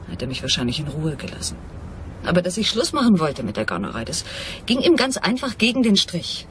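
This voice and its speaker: A mysterious female swindler in a radio crime play, earnest, pleading and dramatic. Her swings widely in pitch, over clear ambient noise. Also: big pitch swings, brisk. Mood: confessing, regretful.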